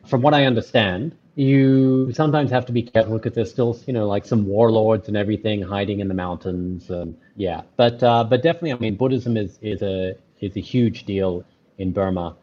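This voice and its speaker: Earnest man with a low voice. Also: brisk, dark tone, lo-fi sound. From Australia. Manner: earnest, rambling, many restarts and fillers. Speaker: guest. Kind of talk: casual interview conversation.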